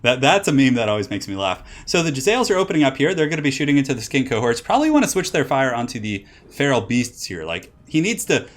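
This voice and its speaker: A man's voice: medium pitch, very animated, fast talker, hardly any pauses. A young man from California casting a strategy game match and chatting with his stream's chat, rambling with lots of "like" and false starts. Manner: rambling, filler-heavy with many "like"s. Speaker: streamer and caster. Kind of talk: casual game commentary with chat.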